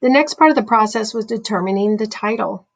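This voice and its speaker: A woman's voice: medium pitch, fairly quick, vocal fry. A young woman, a student playwright, giving a scripted presentation about a project. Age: young adult.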